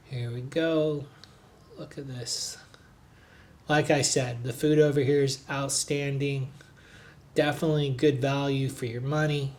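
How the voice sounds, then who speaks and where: A man narrating a hotel and city tour for his travel vlog in a relaxed, chatty way, with frequent "uh" and "um" fillers. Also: medium pitch, unhurried, long pauses. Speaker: travel vlogger, hotel reviewer. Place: recorded on the road in a noisy city.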